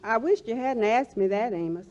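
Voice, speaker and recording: A woman's voice: on the high side, normal speed, darkish tone, highs cut off, close to an everyday voice. A woman playing a hurt, sentimental wife in a 1940s radio comedy, plaintive and emotional with folksy grammar.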